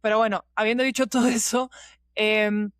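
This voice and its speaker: A woman's voice: fairly high pitch, steady tone, normal speed, smooth, no room sound, studio quality. A woman explaining things in casual, digressive conversation and often checking in with her listener. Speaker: podcast guest, producer and teacher.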